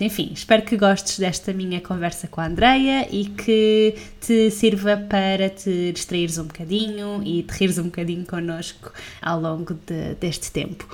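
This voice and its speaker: A woman with a European Portuguese accent hosting a travel podcast, upbeat and chatty, laughing often. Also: fairly low pitch. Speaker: podcast host. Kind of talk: casual podcast interview.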